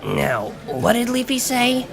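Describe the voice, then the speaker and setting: A man's voice: very high voice, wildly swooping pitch, slow pace, vocal fry, over music, pitched above the performer's own voice, heavily acted. A man voicing a young female pony DJ in a story, awkward, good-natured and casual. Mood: thoughtful.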